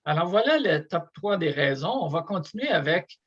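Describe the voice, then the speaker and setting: A man makes a fluent, persuasive case. His voice sits a little high and has a husky, breathy edge. The recording sounds slightly dull and muffled. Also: big pitch swings, moderate pace, fairly bright tone. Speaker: university representative. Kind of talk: informational presentation.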